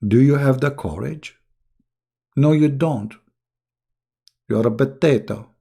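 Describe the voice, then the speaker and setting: Man, gravelly husky voice, taunting, insulting, debate host on a live show. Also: mid-range voice, slow pace, warm tone. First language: Arabic. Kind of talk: combative live religious debate talk.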